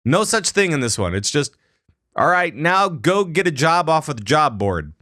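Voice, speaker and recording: Animated man retelling a game, dry mic. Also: a little high, normal speed, no room sound. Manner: animated storytelling, acts out characters' lines. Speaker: podcast co-host. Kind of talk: casual gaming podcast chat.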